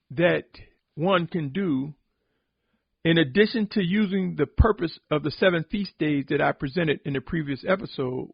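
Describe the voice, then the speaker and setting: Husky gravelly guy doing a solemn preachy podcast, slow deliberate repeats for emphasis, dry dull lofi sound. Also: a little high, no room sound. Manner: exhortatory, deliberate, with emphatic repetition. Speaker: religious podcast host and preacher. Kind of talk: solemn sermon-like spoken reflection.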